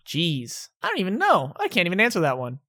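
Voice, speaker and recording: Young male YouTuber, laid-back and self-correcting, bright tone, home vlog. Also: high-pitched, wildly swooping pitch, normal speed. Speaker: YouTuber answering viewer questions. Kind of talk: casual vlog Q&A. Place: indoors at home.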